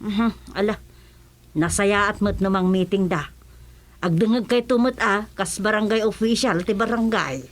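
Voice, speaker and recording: A woman plays an older married village woman in an Ilocano radio comedy. She is chatty and full of questions, speaking with an Ilocano accent in a mid-pitched voice. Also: measured pace, lightly acted.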